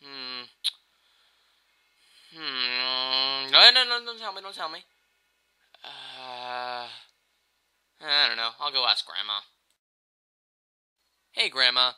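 An amateur performer voicing a whiny, exasperated boy hero with a sarcastic, halting, casual delivery in a video game parody. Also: medium pitch, expressive, sharp tone, clean tone, heavily acted. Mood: flustered.

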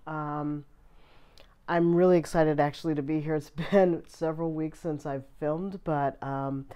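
Low-voiced woman getting over a cold. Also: fairly even intonation, unhurried, warm tone, clear, resonant tone. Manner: chatty, rambling with many ums. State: recovering from a cold, voice a bit off. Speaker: fountain pen channel host. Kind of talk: casual vlog chat. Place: at a desk indoors.

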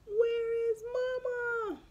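A woman's voice: extremely high pitch, a little mellow, muffled recording, voice pushed up, exaggerated voice. A woman voicing an anxious, impatient baby llama boy from a storybook, whiny and plaintive as he wants his mama. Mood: whimpering, wailing.

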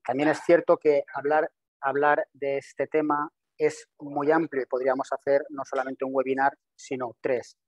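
A man in his thirties presents a webinar over a video call in a language that is not his first. He talks quickly, often restarting and repeating words as he goes. His voice sounds dry and close, at an ordinary pitch. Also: level tone, no echo. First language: not Spanish. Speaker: webinar presenter, systems engineer. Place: home office with virtual background. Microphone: video call webcam.

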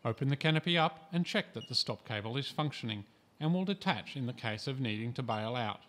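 A man from Australia reading a scripted training voice-over in a calm, measured, instructive way. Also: mid-range voice, a little hiss or hum. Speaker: instructional narrator.

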